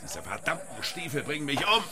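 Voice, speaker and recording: A man's voice: on the high side, big pitch swings, a little fast, a crowd in the background. An actor playing a vain, pampered male cowboy film star with a swaggering manner. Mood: petulant. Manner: petulant, swaggering. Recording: loud music behind.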